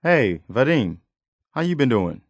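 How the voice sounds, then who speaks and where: A male actor playing an earnest, weary militia officer and loyal companion in a video game, speaking in a measured, conversational way. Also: mid-range voice, sing-song, no echo, clean studio sound. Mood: upbeat, casual.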